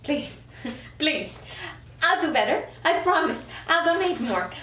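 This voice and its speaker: A woman acting an indignant, bewildered newcomer in a table read of a TV dramedy, expressive and incredulous. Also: sits high, slow pace, full character voice. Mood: pleading. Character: indignant, bewildered newly dead woman in hell. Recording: echoey room, distant mic, faint noise.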